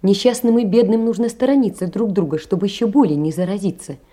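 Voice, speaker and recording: An actress playing a poor, tender young woman in an audio play, earnestly and sorrowfully reproaching in measured literary phrases. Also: medium pitch, dark tone, lightly acted. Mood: sorrowful, pained. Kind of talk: theatrical audio play, reading a letter aloud.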